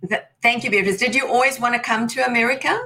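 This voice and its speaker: A woman's voice: a little high, fairly even intonation, quick pace, pushed and bright. A woman hosting an interview, asking questions with frequent ums and easy laughs. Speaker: podcast host.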